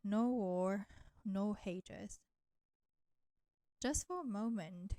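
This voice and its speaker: A woman's voice: medium pitch, darkish tone, no room sound, natural voice. A woman voicing a flirty, nurturing vampire in an intimate roleplay audio drama, teasing and coaxing the listener. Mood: tender, coaxing. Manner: slow, teasing, coaxing, addressing listener with pet names. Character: flirty, teasing, nurturing female vampire, ancient enemy turned caretaker.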